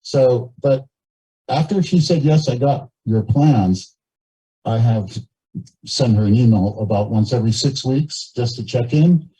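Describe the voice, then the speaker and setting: A man making informal remarks and questions at a community meeting, speaking haltingly and repeating words. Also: warm tone, slightly rough. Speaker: cabin owner speaking from the floor at a meeting. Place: community meeting, captured on a video call. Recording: muffled low-fidelity meeting audio over video call.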